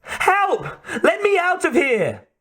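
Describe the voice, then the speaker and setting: Guy reading an audiobook as a panicked kidnapped young woman, high desperate screaming. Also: wildly swooping pitch, takes it slowly, a bit bright, full character voice. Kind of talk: audiobook character voice.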